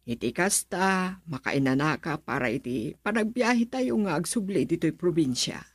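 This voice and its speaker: A woman with an Ilocano Filipino accent and a husky voice acting in a radio drama as a practical older mother of a grown son. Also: lowish voice, lively intonation, moderate pace, no room sound. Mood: practical, insistent.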